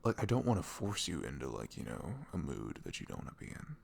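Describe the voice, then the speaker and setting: A man playing a sweet, caring boyfriend in an intimate ASMR roleplay, speaking softly and tenderly in a velvety, often breathy voice with earnest, rambling reassurance. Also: a bass voice, sing-song, quick pace, exaggerated voice. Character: sweet, caring, devoted young boyfriend talking in bed.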